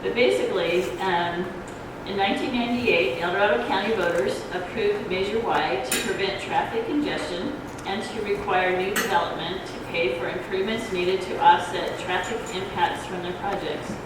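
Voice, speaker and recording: A soft-voiced woman giving an informal explanatory talk at a public forum, speaking in a halting, hesitant way. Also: medium pitch, steady tone. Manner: halting, hesitant, loses her thread. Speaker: ballot measure proponent, debate speaker. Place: community grange hall. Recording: echoey hall, distant noisy microphone.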